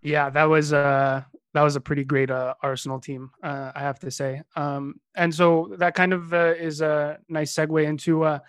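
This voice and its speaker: Dry, halting male podcast co-host. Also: on the high side, little pitch movement, fairly bright tone, clear, resonant tone, dry recording. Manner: hesitant, many filler uhs. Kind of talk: casual podcast chat.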